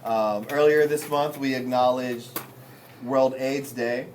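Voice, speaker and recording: A man's voice: medium pitch, steady tone, slow, a little mellow. A man hosting a community panel in an easy, mid-range voice. He hesitates often, filling gaps with ums and uhs. Faint noise sits behind him. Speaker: host.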